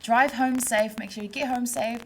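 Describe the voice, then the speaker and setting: A woman presenting a casual studio chat show in place of the usual host, talking in a friendly, relaxed, reassuring way. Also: on the high side, normal speed, a little hiss or hum.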